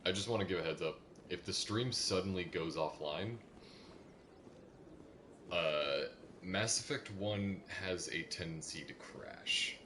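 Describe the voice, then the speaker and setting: Deep-voiced man streaming a game. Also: a little slow, pushed and bright, a little hiss or hum. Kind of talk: casual live game commentary. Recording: game music behind the voice. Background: video game music.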